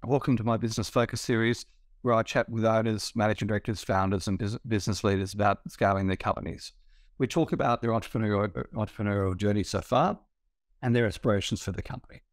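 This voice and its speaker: An older man with a hoarse voice from a cough, hosting a business interview over a video call and talking in a chatty way with fillers. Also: lowish voice, fairly even intonation, quick pace, dry recording, clean studio sound. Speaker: interview host, business coach.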